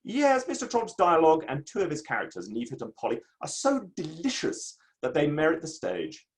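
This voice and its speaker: A man's voice: a little high, huge pitch swings, a little fast. A man playing a pompous, self-satisfied Victorian playwright in a theatrical dramatic reading. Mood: breezy, boastful. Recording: online reading from home setup.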